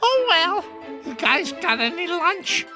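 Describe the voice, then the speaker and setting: Casting: a sheepish, dopey cartoon baddie, very high voice, drawn-out delivery. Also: very animated, music in the background, heavily acted. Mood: sheepish, casual. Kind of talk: animated cartoon game acting.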